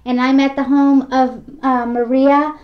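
A woman conducts an oral history interview in someone's home. Her voice sits rather high, and she keeps her questions short and plain. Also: flat delivery, measured pace, dull audio.

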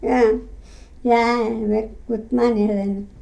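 Slow, halting Greek-accented woman in her nineties. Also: a little high, flat delivery, vocal fry, warm tone. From Greece. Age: 90s. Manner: halting, many fillers and restarts, simple non-native grammar, laughs often. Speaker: interviewee, retired mill weaver. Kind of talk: oral history interview. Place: her home.

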